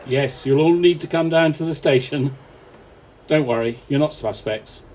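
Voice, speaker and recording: A man's voice: fairly high pitch, normal speed, a little mellow, a little hiss or hum, natural voice. A man acting an earnest, authoritative off-duty policeman in an amateur radio play. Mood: calm, reassuring.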